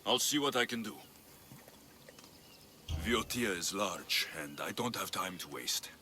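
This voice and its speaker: Game hero mercenary: confident, plain-spoken, crisp edge on a low voice, faint background noise. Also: male voice, lively intonation, a little slow, bright, forceful tone, heavily acted. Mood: resolute. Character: confident, reassuring adult male mercenary hero. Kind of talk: video game voice acting. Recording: long hall reverb, faint noise.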